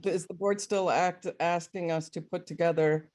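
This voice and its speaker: A woman speaking in an online board meeting, measured but stumbling at the start of her points. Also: on the low side, steady tone, a little mellow. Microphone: video call.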